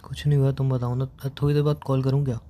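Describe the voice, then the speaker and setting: A young man on a phone call. He has been crying, sounds hoarse, and speaks in a subdued, halting way, slow to open up. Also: mid-range voice, little pitch movement, mellow, slight background noise. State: tearful, hoarse. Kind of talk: emotional phone conversation.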